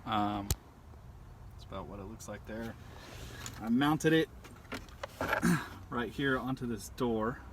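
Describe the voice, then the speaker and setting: A man's voice: mid-range voice, slow pace, pauses often. A man giving a casual, unscripted do-it-yourself walkthrough in a laid-back, hesitant, self-effacing way, recorded outdoors around a pickup truck. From Southern California, lives there. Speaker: vlogger demonstrating a DIY truck install. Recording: noisy handheld outdoor vehicle recording. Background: clear outdoor and vehicle ambience.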